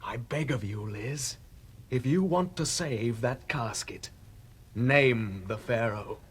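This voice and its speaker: An actor playing a desperate, greedy brother in a radio drama, pleading and insisting urgently. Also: male voice, mid-range voice, slow, slightly rough.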